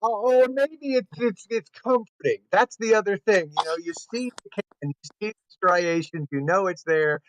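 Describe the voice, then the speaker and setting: A man's voice: sits high, no room sound. A man co-hosting a casual comedy debate, playful and joking. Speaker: co-host. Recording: dry, dull lo-fi sound.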